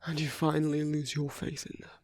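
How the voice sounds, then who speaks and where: An actor playing a brooding, protective male villain in love with his enemy, in an intimate audio roleplay with a breathy voice that often drops toward a whisper. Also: on the high side, flat delivery, brisk, no echo, natural voice. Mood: earnest, resigned. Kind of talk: ASMR roleplay audio drama, intimate monologue.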